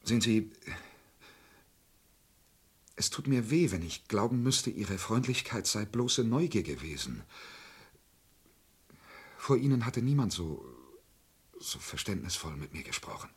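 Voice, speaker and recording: An actor playing an intense, lovesick murderer in a radio play, impassioned, moving between hushed and outraged delivery. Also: male voice, fairly low pitch, lively intonation, a little slow, pauses often, slightly rough, full character voice. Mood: subdued, tender. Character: intense, wounded, lovesick man who confesses to murders. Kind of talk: dramatic radio play acting.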